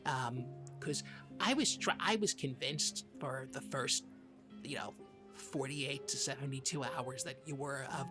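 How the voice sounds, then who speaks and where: A woman co-hosting a comedy podcast, improvising banter in a halting, hesitant way. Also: very deep voice, over music.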